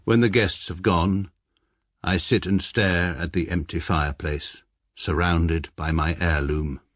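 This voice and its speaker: An actor playing a middle-aged lawyer narrating his own story in precise, deadpan radio-comedy style. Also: low-pitched, measured pace, no room sound. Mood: wry. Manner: precise, dry, pedantic. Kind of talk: dry deadpan first-person narration.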